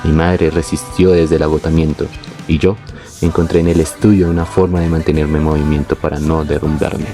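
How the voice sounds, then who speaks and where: A young man reading a scripted, reflective personal narration in a measured, literary way. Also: a bass voice, little pitch movement, dark tone, soft background music. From born in Venezuela, moved to Colombia. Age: young adult. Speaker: narrator of his own life story.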